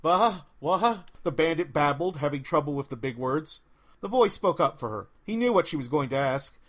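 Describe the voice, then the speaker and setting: A man reading a story aloud as an expressive audiobook narrator. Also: fairly high pitch, fairly quick.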